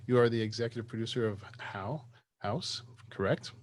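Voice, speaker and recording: A man's voice: fairly low pitch, normal speed. A man hosting an interview show over video call, easygoing and joking with loose phrasing. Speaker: host.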